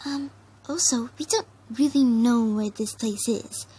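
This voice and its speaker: A woman voicing a timid, worried female pegasus pony, hesitant and squeaky. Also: unhurried, warm tone, slightly rough.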